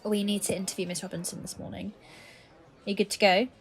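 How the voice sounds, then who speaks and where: A woman playing a composed, dry-humoured police detective in a naturalistic audio drama, with a measured, professional manner. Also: medium pitch, level tone, natural voice, low-quality recording. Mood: dry, amused. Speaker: actor in an audio play.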